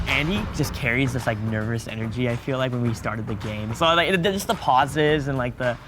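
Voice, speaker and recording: A man's voice: mid-range voice, fairly quick, music in the background. A man in his early twenties chatting casually and easygoing in a group video. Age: 20s.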